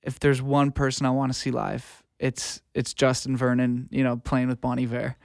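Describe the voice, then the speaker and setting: Male podcast guest, North American accent, medium pitch, informal filler-heavy chat, dry studio-close sound. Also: flat delivery, normal speed, vocal fry, no echo. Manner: informal, peppers talk with "like" and "you know". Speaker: podcast guest, audio engineer. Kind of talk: casual chat.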